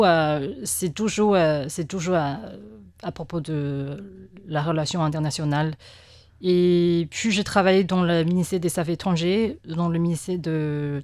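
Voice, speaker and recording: A woman's voice: on the low side, a little mellow, dry recording, clean studio sound. Hesitant Taiwanese woman speaking French. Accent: Taiwanese Mandarin. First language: Mandarin. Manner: hesitant, many fillers and restarts. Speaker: guest press cartoonist. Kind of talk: radio interview answers.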